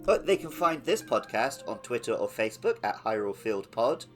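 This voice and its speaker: A man's voice: mid-range voice, very animated, normal speed, faint music behind. A man co-hosting a podcast, chatting casually about video games. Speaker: podcast co-host.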